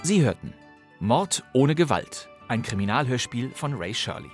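A man's voice: mid-range voice, sing-song, moderate pace, soft background music. A man reading scripted cast credits as a radio announcer.

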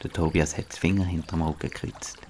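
A man narrates a scripted story for children in a deep voice. He speaks with a Swiss German accent, as the storyteller of an audio play. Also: fairly even intonation, a little fast, a little mellow, slight background noise.

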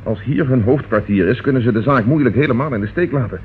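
A stern, businesslike police inspector played by a man in an old radio crime play, questioning briskly and with authority. The sound is narrow and tinny, with clear background noise. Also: medium pitch, animated, lightly acted. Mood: deliberate, businesslike. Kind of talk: old Dutch radio crime drama acting. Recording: muffled, noisy old radio recording.

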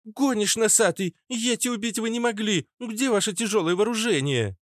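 A man voicing a defiant, foul-mouthed teenage girl with a squeaky, snappy voice in a narrated story. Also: normal speed, dry recording, clean studio sound, natural voice. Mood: stubborn defiant. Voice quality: squeaky, shrill. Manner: snappy, hissing, insolent.

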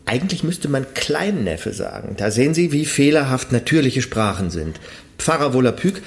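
A man's voice: mid-range voice, big pitch swings, normal speed, creaky. An actor playing an eager, pedantic man who coaxes his pupil through a made-up language in a radio play. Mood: coaxing. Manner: pedantic, coaxing, enthusiastic. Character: eager male language teacher, pedantic enthusiast.

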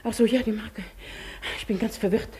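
A woman's voice: fairly high pitch, fairly even intonation, fairly quick, a little mellow, lightly acted. An actress in a German radio play, playing a distraught, genteel lady with a formal, anxious manner. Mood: confused, dejected.

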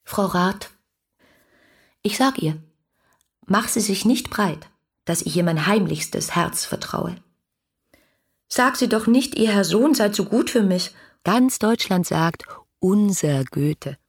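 Lovestruck young lady in a radio play, husky mid voice, lyrical and fervent, reading out love letters. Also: mid-range voice, animated, slow, pauses often, heavily acted. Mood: confiding, defiant. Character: ardent, lovestruck young woman writing to a poet. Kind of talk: literary radio drama monologue.

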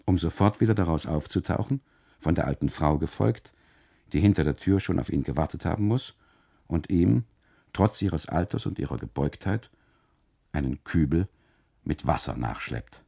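A male radio narrator with an extremely low, creaky voice. He reads a first-person literary monologue deliberately, with theatrical phrasing and wide, animated swings in intonation.

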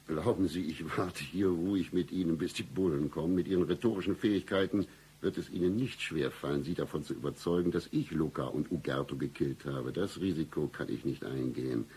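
Very deep, husky noir detective. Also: male voice, fairly quick, exaggerated voice. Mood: cold, menacing. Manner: deadpan, accusing, melodramatic. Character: hard-boiled male private detective, cynical, menacing, absurdly deadpan. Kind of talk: noir satire radio play acting and narration.

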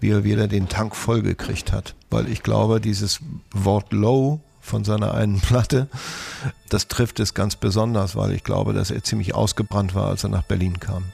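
Male documentary interviewee, thoughtful casual talk, lowish pitch, plenty of vocal fry. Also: little pitch movement, moderate pace, a little mellow.

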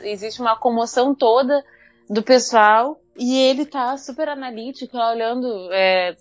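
Brazilian woman, highish voice, muffled audio. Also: moderate pace. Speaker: podcast panelist. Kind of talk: casual chat. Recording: dull lo-fi audio.

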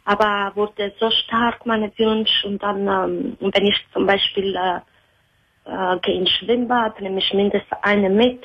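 A woman in her thirties from Italy with an Italian accent, a radio caller speaking hesitant, halting German with many fillers. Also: mid-range voice, fairly even intonation, slight background noise. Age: 30s. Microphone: phone line. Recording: muffled phone line on radio.

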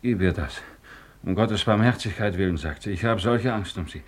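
An actor playing a wistful, down-at-heel joiner who drinks, halting and pleading, in a 1950s German radio play. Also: male voice, lowish voice, noisy background, lightly acted. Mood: wistful, tender. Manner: halting, pleading, swinging into boastful hope.

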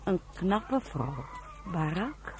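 A woman's voice: fairly low pitch, very animated, extremely slow, full character voice. An actress playing an older, fussing farm mother in a radio drama, stammering and repeating herself as she bustles. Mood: fussing, eager. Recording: noisy old radio play recording.

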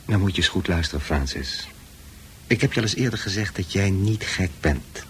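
Firm male psychiatrist: deep, heavily husky, measured, insistent, radio drama. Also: expressive, heavily acted. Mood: firm, reassuring. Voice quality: husky, rough. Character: calm, firm male psychiatrist guiding a patient.

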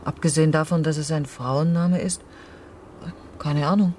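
Actress doing a bitter guilt-ridden wife in a radio play, defiant, terse, bit of background noise. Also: female voice, low voice, fairly even intonation, normal speed, mellow, lightly acted. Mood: guarded. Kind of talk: naturalistic radio drama acting.

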